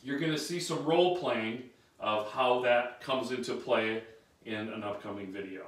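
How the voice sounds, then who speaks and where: A man's voice: medium pitch, normal speed. A man in his thirties giving an emphatic, direct training talk at a whiteboard in a small carpeted room. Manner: emphatic, direct, gestures while standing. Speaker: sales and service trainer. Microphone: camera a few feet away. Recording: echoey room, camera mic at distance.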